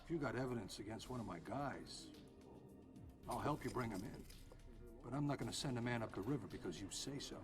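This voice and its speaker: An actor playing a smug, confident private security boss with a smooth, needling way of talking. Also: male voice, medium pitch, lively intonation, a little fast, close to an everyday voice. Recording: game cutscene with music underneath.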